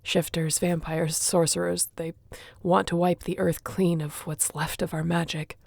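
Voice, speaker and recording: A woman playing a curt, lowish-voiced sardonic tracker. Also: flat delivery, unhurried, gravelly, a little mellow, no echo. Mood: alarmed, grim. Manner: curt, dry sarcasm. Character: irritable, guarded, sardonic young female shifter tracker. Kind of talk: dramatic audio roleplay.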